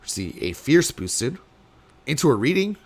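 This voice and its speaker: A young man, slightly sick and losing his voice, casting a card game tournament alone in rambling play-by-play full of fillers, with sudden bursts of excitement. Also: medium pitch, measured pace. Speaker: tournament caster. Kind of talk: solo play-by-play commentary.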